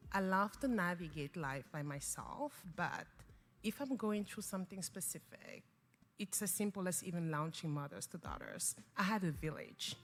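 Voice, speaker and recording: Low-voiced woman, French native speaker. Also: fairly bright tone. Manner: reflective, earnest, with frequent fillers and restarts. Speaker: guest, organization founder. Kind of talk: interview conversation.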